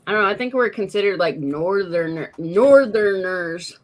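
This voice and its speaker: A woman hosts a relaxed live morning show and teases her co-hosts with quick comebacks. Her voice sits at a middle pitch, and she talks in an easy, chatty way. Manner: playful, teasing, quick comebacks. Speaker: host.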